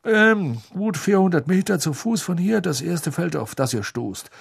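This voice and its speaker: A man voicing a weary, harried male wizard official who briskly reads out orders in a storybook. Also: sits high, animated, gravelly, breathy edge, pitched above the performer's own voice, exaggerated voice. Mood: busy.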